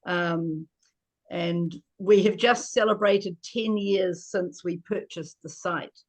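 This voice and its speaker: Halting older New woman presenting. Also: fairly low pitch. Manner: halting, self-correcting. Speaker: co-housing resident presenting. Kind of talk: informal presentation. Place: video call from Dunedin.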